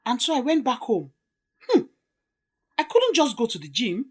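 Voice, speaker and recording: Woman playing an animated, indignant mother. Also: a little high, moderate pace, slightly rough, full character voice. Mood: shocked, indignant. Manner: emphatic, melodramatic. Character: indignant, dramatic mother of a grown son.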